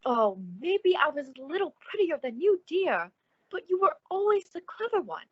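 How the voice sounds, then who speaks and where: A woman's voice: very high voice, very animated, moderate pace, dry recording, phone-quality sound. A woman acting a naive, nervous, placating young-sounding character in an old-time radio suspense play, with exclamatory delivery. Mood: placating, uneasy.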